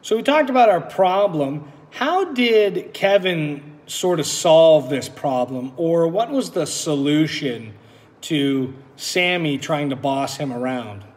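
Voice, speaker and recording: A man teaches and reads aloud for children. His voice sits a little high and moves with lively swings. It carries a long echo from the room. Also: slow pace. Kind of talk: animated read-aloud and lesson for children. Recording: echoey room with long reverb.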